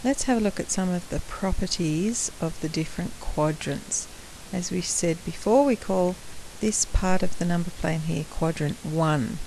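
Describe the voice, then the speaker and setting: A woman teacher with a croaky voice gives a patient maths lesson recorded at home on a laptop, clearing her throat now and then. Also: lowish voice, dark tone, slight background noise, low-quality recording. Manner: slow, patient explaining, with frequent clearing of the throat.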